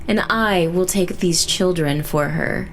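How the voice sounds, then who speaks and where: A woman's voice: lowish voice, unhurried, slight background noise, natural voice, low-quality recording. A woman voicing a cold, merciless otherworldly queen of nature in a fantasy audio drama, proclaiming her will imperiously.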